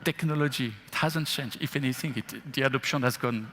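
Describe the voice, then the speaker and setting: A man's voice: mid-range voice, brisk, fairly bright tone, a little hiss or hum. A man speaking as a bank investment chief on stage at a fireside chat, answering with rhetorical questions and frequent laughs. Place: event stage in a large hall. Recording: long hall reverb.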